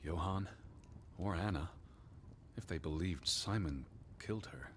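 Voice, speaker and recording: An actor playing a weary, skeptical 1920s American naturalist in a video game, speaking in a measured, dry, reflective way. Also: male voice, low voice, fairly bright tone, a little hiss or hum. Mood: somber musing.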